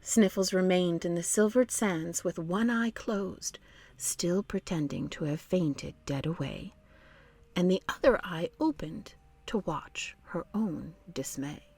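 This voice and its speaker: A woman narrating a children's storybook in an expressive, deliberate storytelling manner. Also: on the low side, breathy edge.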